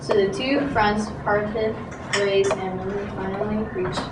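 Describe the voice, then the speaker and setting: A woman's voice: medium pitch, little pitch movement, measured pace. A woman narrating a story aloud in an amateur readers theatre style, projecting, with some stumbles. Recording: loud background noise, echoey room, distant mic.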